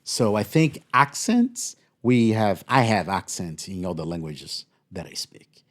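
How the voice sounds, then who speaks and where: Brazilian-accented man, measured and explanatory. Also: lowish voice, sing-song. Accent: Brazilian Portuguese. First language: Portuguese. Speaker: podcast co-host. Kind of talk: casual podcast conversation.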